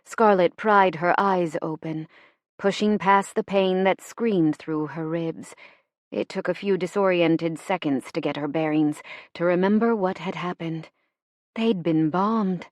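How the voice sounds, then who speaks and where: A woman reading fiction as an audiobook narrator in an expressive, measured, dramatic storytelling style. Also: on the low side, no room sound, studio quality.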